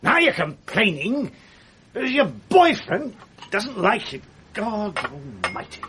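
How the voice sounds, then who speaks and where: A man's voice: sits high, huge pitch swings, takes it slowly, heavily acted. An actor playing an evasive middle-aged married man with a British accent in a naturalistic radio drama, speaking in an offhand, dryly understated way. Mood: indignant. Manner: offhand, hesitant, dry understatement. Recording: faint hiss on archive radio recording.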